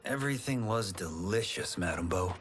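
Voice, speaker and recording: A man's voice: low voice, animated, normal speed, background noise. A man playing a modest, good-natured and cautious young farmhand in a video game, mild and polite. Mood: friendly banter. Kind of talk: video game cutscene acting.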